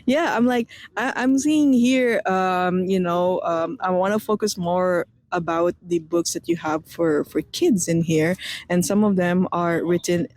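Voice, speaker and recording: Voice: mid-range voice, unhurried, darkish tone. A radio interviewer of Filipino heritage asking long, rambling questions full of fillers.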